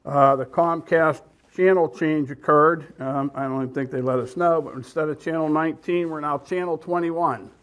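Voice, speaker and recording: A man's voice: fairly high pitch, fairly even intonation, moderate pace, a bit bright. A man serving as mayor, reading community announcements in a matter-of-fact way.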